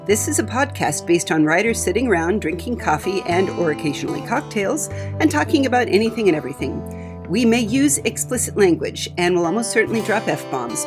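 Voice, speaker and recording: A woman hosting a podcast in a casual, chatty style. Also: lowish voice. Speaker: podcast host.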